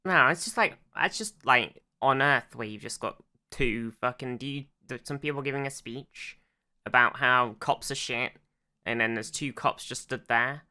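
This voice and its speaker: A podcast co-host in casual discussion, explaining things haltingly, circling back and swearing freely. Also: medium pitch, bright, forceful tone.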